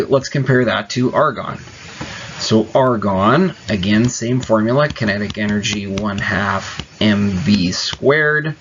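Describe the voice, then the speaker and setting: A man's voice: on the low side, a little hiss or hum. A man teaching chemistry, explaining each step patiently in a casual tone with small fillers. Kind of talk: classroom explanation. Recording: dull lo-fi recording.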